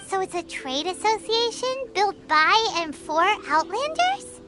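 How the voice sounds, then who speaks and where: A woman voicing a tiny, chirpy, childlike fairy companion in a video game, cheeky and expressive. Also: very high voice, a little slow, music in the background, exaggerated voice. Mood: curious. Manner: exclamatory, expressive, talks of self in third person.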